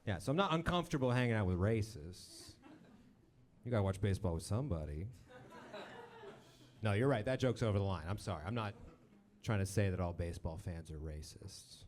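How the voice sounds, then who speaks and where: A man's voice: lively intonation, fairly quick, pauses often, darkish tone, a little hiss or hum. A man in his thirties, born in Romania and raised in Texas, doing a dry, self-deprecating stand-up set on a club stage with a handheld mic. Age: 30s. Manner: dry, self-deprecating, mimics people in high voices. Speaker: stand-up comedian. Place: comedy club stage with live audience.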